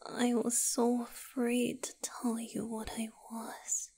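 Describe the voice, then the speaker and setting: A tender vampire boyfriend, played by a woman, whispers in a high, mellow voice. The delivery is very slow and hesitant, soft and breathy, in the intimate manner of an ASMR roleplay. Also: monotone, exaggerated voice. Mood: vulnerable, confessional. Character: gentle male vampire boyfriend, vulnerable and tender.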